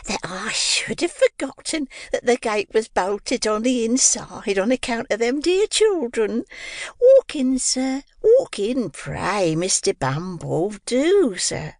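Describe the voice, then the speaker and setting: A woman's voice: a little high, big pitch swings, slow pace, darkish tone, dry recording, studio quality, exaggerated voice. A woman doing a gushing, fawning orphan-nurse. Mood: flustered. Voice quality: old-fashioned working-class dialect. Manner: gushing, wheedling, sugary. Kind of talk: dramatized classic novel reading.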